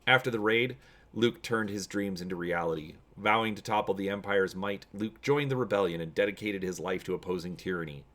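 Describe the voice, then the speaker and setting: Low-voiced man hosting a story reading. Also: a little fast, fairly bright tone. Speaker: host of a tabletop gaming channel. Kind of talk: casual video intro and dramatic reading of story narration.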